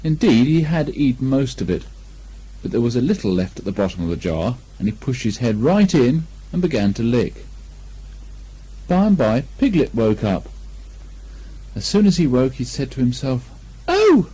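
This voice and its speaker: Mellow, animated male storybook narrator. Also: medium pitch, normal speed, breathy edge. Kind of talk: expressive children's story reading. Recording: lo-fi 1980 amateur recording.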